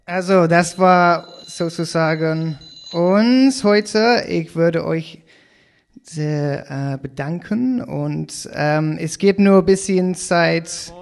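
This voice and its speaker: A man hosting a radio interview in halting German, with many fillers and slips. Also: on the high side, creaky. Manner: halting non-native German with fillers and English asides.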